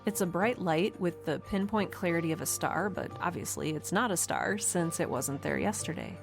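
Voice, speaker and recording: A woman scientist making a log in an audio drama. Her low voice is creaky and measured, matter-of-fact over clear music. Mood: pensive. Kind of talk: scripted audio drama monologue.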